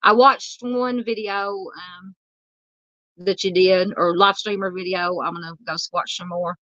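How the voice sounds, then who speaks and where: A woman's voice: on the low side, fairly even intonation, a little slow, a bit bright, no room sound, dull audio. A woman hosting a true crime livestream, chatting casually and reading viewer comments, her voice worn and tired from talking. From Southern United States. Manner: rambling, chatty, many fillers. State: losing her voice.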